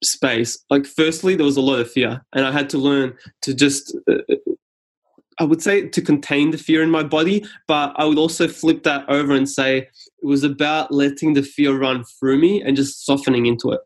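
Serbian-born guy, measured and earnest, guest on a podcast. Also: medium pitch, fairly even intonation. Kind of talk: reflective interview talk.

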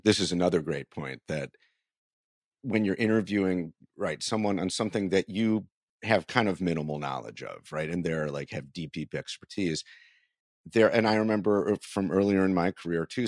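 Male interview host, deep voice, dry close recording, informal and affirming in conversation. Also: dry recording.

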